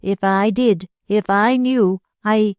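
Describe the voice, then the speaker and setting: A woman's voice: mid-range voice, fairly even intonation, slow pace, a little mellow, clean tone, dry recording, voice pushed up, natural voice. A woman voicing a fiery, jealous Spanish dancer who speaks broken English with a Spanish accent and a tempestuous, dramatic manner. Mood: passionate.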